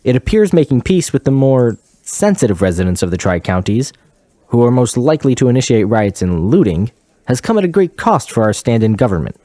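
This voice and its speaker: A man playing a solemn TV news anchor with formal, measured delivery in a parody newscast. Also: medium pitch, big pitch swings, mellow, no echo, close to an everyday voice.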